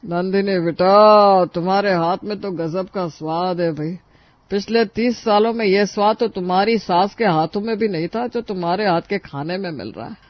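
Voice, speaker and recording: A man's voice: high-pitched, moderate pace, dry recording, natural voice. A man voicing a hearty, teasing older father-in-law who loves his food, on a dry, muffled studio recording. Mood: jovial.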